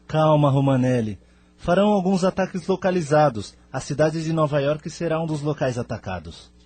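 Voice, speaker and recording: Husky, composed Brazilian spirit leader, played by a man. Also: a little high, animated, normal speed, a little mellow, no echo. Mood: grave, composed. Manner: formal, composed. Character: calm, authoritative male leader of a spirit colony. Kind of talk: Brazilian audio drama acting.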